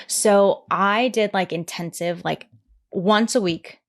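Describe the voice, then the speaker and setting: A woman in her twenties chatting candidly as a podcast guest, rambling with lots of "like" fillers and animated retellings. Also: mid-range voice, slow pace. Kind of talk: candid conversational chat.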